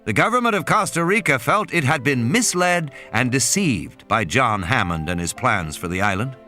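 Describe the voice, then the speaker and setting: A man's voice: medium pitch, animated, moderate pace, bright, forceful tone. A man reading a dramatic scripted story as an audiobook narrator, with music and sound effects behind him. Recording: music under the voice.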